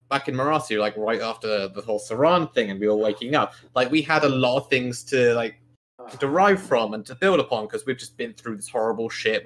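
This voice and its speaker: A man in a casual podcast chat, talking hesitantly with many pauses and fillers. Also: medium pitch. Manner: hesitant, full of "like" and restarts. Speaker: podcast co-host and player.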